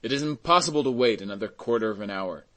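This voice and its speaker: A man playing a resolute, compassionate gentleman in a storybook reading, urgent and commanding as he appeals for help. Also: mid-range voice, fast, close to an everyday voice. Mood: urgent, insistent. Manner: insistent, commanding, exclamatory.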